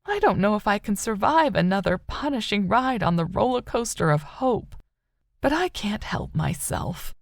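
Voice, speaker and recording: A woman playing a soft, high-voiced grieving older mother. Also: expressive, moderate pace, vocal fry, dry recording, studio quality. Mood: desperate hope. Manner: soft, sorrowful, tender. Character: grieving older mother, wistful, haunted, still hopeful.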